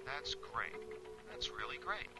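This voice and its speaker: A man's voice: mid-range voice, sing-song, takes it slowly. An actor playing a frank, easygoing businessman on the telephone in a 1980s training film. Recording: 1980s film soundtrack, faint music.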